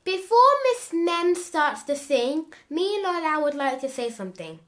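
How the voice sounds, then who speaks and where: A woman voicing an eager little child puppet, presenting slowly and carefully in a children's puppet show. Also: sits high, sing-song, fairly bright tone, pitched above the performer's own voice, exaggerated voice. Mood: polite, earnest. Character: little child puppet Elle, eager young geography helper.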